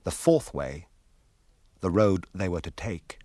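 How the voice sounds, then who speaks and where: A man's voice: deep voice, lively intonation, takes it slowly, warm tone, no echo, studio quality. A man giving solemn scripted narration for a radio drama.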